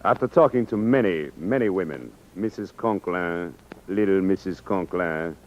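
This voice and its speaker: French accent, courtly earnest frenchman played by a man, very deep voice, faint hiss on an old radio recording. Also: highs cut off, close to an everyday voice. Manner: halting, broken English, courtly. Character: amorous, earnest middle-aged Frenchman. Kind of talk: 1950s radio sitcom acting.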